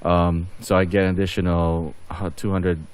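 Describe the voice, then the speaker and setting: A man's voice: deep voice, little pitch movement, normal speed, smooth. A man giving casual game update commentary as a channel host, rambling and thinking aloud as he goes.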